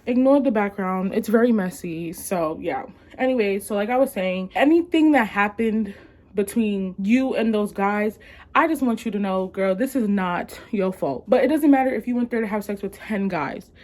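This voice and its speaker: A woman's voice: medium pitch, moderate pace, reverberant, far from the mic. A young woman talking casually to her camera at home, giving blunt, slangy advice. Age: young adult. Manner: blunt, slangy, animated reactions. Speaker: advice vlogger reading submissions. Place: room at home. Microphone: camera, later phone.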